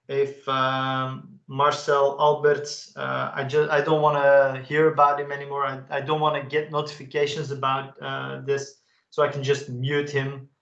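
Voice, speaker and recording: Man, gravelly voice, casual software walkthrough, roomy echo. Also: mid-range voice, dull audio. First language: Dutch. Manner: casual, enthusiastic, frequent "uh" fillers. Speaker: webinar presenter. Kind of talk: live software demo walkthrough.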